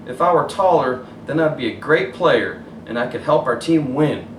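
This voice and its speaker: A man's voice: medium pitch, expressive, moderate pace, a bit bright, natural voice. A man voicing an earnest, eager young boy in a children's storybook. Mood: wistful. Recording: roomy, distant mic, faint hiss.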